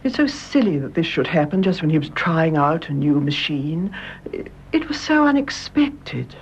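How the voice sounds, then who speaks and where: An actress playing a devoted older mother and gracious hostess in a British radio drama, speaking in a measured, genteel British way. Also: sits low, big pitch swings. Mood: wistful, concerned. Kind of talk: British stage-play radio drama acting. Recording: dull audio, faint background noise.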